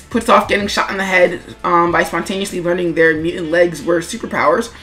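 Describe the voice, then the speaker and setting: A woman with a mid-pitched voice narrates her own creative commentary over background music. She is chatty and informal, slipping in wry asides and turning to the listener with rhetorical questions. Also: fairly bright tone. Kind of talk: semi-scripted creator commentary.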